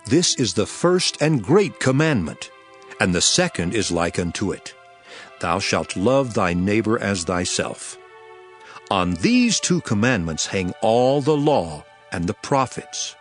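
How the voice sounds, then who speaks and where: A man's voice: fairly low pitch, very animated, takes it slowly, soft background music. An emphatic, authoritative male teacher. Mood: solemn teaching. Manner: solemn, emphatic, declamatory. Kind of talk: dramatized Bible reading.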